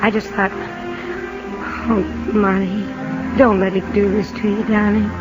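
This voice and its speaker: An actress playing a loving, anxious young wife with an American accent, earnest and gentle, in a 1950s radio drama. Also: female voice, mid-range voice, level tone, unhurried, close to an everyday voice. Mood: pleading. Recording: dull 1950 radio broadcast, music behind.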